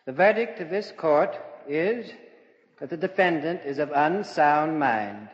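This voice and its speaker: A stern, impersonal courtroom judge in an old radio play. His fairly high male voice declaims officially through a big echoey hall, on a dull vintage recording. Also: expressive, unhurried. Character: stern, formal courtroom judge pronouncing a verdict. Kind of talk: 1940s radio drama acting.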